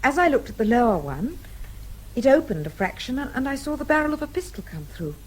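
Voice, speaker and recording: A woman's voice: sits high, very animated, a little fast, rough recording. An actress playing a spirited, self-assured young English woman in a radio drama, polite and direct, with an English accent. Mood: guarded, defiant. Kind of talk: British radio drama acting.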